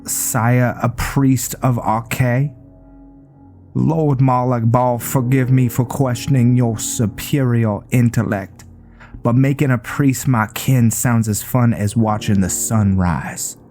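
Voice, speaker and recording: A man's voice: mid-range voice, steady tone, takes it slowly, mellow, soft background music. A man playing a deferential yet drily sardonic vampire assassin serving his master in dramatic fantasy game dialogue. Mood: sardonic, reluctant. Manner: deferential with dry sarcasm. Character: dutiful but sardonic male vampire assassin servant.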